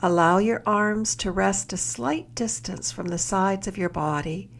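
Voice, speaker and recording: A woman leading a guided meditation as a mindfulness mentor, with gentle, measured instruction and soft, breathy phrase endings. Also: mid-range voice, a little hiss or hum.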